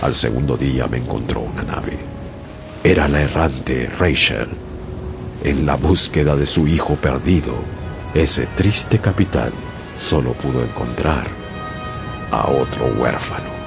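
An actor narrating as a young sailor who survived a shipwreck, in grave, measured storytelling. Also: male voice, very deep voice, creaky, exaggerated voice. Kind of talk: dramatic audiobook narration. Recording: loud music bed under narration.

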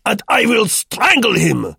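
Guy doing an angry rude french bloke, loud and sneering, voice pushed up high, audiobook. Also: animated, takes it slowly, gravelly, husky, dry recording, studio quality, full character voice. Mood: furious. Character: hot-tempered, jeering Frenchman, rude and belligerent.

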